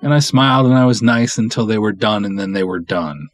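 A man's voice: lowish voice, brisk. A man hosting a solo podcast, giving candid advice in a blunt, opinionated, emphatic way. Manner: blunt, opinionated, emphatic, acts out imagined dialogue. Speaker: podcast host, independent film writer-director.

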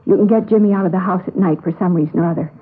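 A woman's voice: on the low side, racing, breathy edge, phone-quality sound. An actress playing a determined woman in a 1950s radio crime drama, speaking earnestly and insistently. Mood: insistent, confident. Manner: earnest, urgent. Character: determined, insistent woman, twin of missing wife. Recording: thin, band-limited old radio broadcast.

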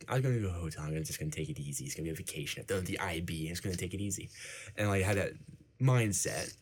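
A young man, whose first language was Icelandic, being interviewed in a casual chat, filling his talk with "like". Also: low voice. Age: young adult. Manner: fills talk with "like".

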